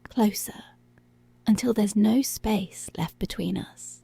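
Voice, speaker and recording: A woman playing a commanding, seductive policewoman in an intimate ASMR roleplay, speaking slowly and deliberately in a breathy voice that often drops to a whisper. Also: mid-range voice, mellow, a little hiss or hum. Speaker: ASMR voice actor.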